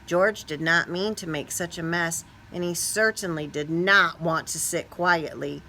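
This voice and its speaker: A woman's voice: fairly low pitch, unhurried, bright, forceful tone, slight background noise. A woman reading a children's picture book aloud expressively, stretching key words and putting questions to young listeners. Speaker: storybook reader.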